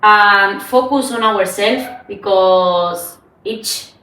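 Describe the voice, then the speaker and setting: A woman's voice: medium pitch, drawn-out words, pushed and bright, distant mic. A young woman from Spain, Spanish her first language, co-hosting a podcast in casual chat and carefully searching for words. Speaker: podcast co-host. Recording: roomy, from off the mic.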